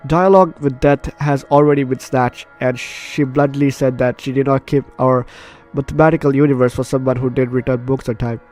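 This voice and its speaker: A man's voice: mid-range voice, steady tone, brisk, few pauses, darkish tone, faint music behind. A man doing a let's play, reading a visual novel aloud and breaking off into playful, chatty asides. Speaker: gaming YouTuber. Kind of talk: let's play, reading game text aloud with casual reactions.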